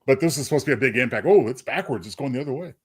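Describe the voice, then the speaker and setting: A man's voice: medium pitch, animated, very fast, husky. A man co-hosting a casual podcast, explaining trivia in a joking, conversational way. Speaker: podcast co-host. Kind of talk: casual fan trivia chat.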